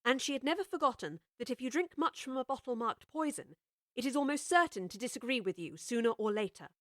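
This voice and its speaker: A woman reading scripted storybook narration in a measured, expressive way. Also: on the high side, no room sound, studio quality. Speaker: audiobook narrator.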